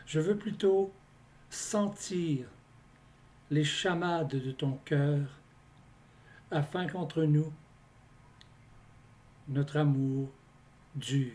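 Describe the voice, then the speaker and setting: Very slow-speaking man reciting poetry. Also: on the high side, a little mellow. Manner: deliberate, phrase-by-phrase delivery with pauses. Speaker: poet reading own poems.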